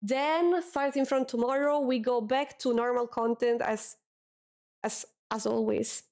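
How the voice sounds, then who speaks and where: A young Italian woman talks casually to her stream chat at a desk mic. Her voice sits a little high, and she repeats words as she goes. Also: steady tone, a little slow, darkish tone. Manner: chatty, repeats words, reads chat aloud.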